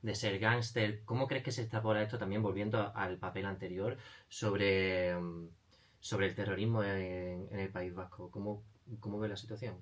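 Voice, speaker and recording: A man co-hosting an interview, asking conversational questions in a halting, roundabout way. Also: on the low side, little pitch movement. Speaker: interview co-host.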